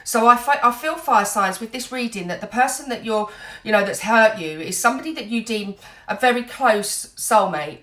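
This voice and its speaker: A woman's voice: on the high side, lively intonation, moderate pace, hardly any pauses, a bit bright, echoey room. A woman giving an earnest, reassuring tarot reading while full of cold, dotting her talk with "you know". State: has a cold, a bit snotty. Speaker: tarot reader.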